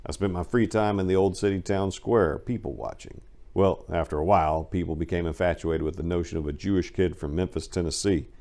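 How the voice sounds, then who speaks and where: A man performing a dramatic reading of a first-person story, with theatrical, emphatic delivery and deliberate pauses. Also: deep voice, creaky, darkish tone. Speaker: host and story reader.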